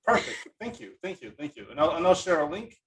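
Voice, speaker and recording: A man's voice: on the high side, big pitch swings, quick pace, a bit bright, far from the mic, rough recording. A man hosting a podcast in a casual, rambling conversational way, often repeating his phrases. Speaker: podcast host. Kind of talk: casual conversational interview talk.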